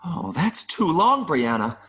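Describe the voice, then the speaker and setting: A man playing an adult male mayfly in an audio play, nervous and earnest, pleading haltingly with little buzzing sounds. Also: fairly high pitch, sing-song, fairly bright tone, full character voice. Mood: patient, explaining. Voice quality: buzzing interjections between phrases.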